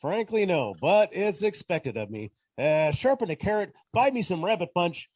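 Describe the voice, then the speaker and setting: Irritable, sardonic male gumshoe, played by a man, higher-pitched and animated, hard-boiled 1940s radio style. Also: moderate pace, no echo. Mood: wry, breezy. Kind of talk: 1940s radio detective acting.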